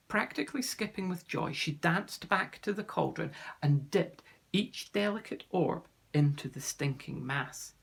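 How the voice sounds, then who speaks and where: A man reading a children's fantasy adventure aloud as expressive scripted narration. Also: a little high, creaky, slightly rough. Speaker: audiobook reader.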